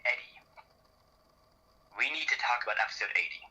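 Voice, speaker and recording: A man's voice: mid-range voice, a little fast, bright, forceful tone, dull audio. A man playing a worried, insistent researcher friend over the phone in a dramatic skit. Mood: urgent, insistent. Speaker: guest actor playing a researcher.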